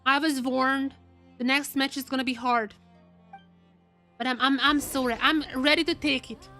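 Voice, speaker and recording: A woman's voice: high-pitched, fairly even intonation, normal speed, bright, forceful tone, soft background music. A woman doing casual live game commentary for her chat, repeating phrases as she thinks aloud, her voice a little worn from a cold. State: getting over a cold, voice wearing out. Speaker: game streamer.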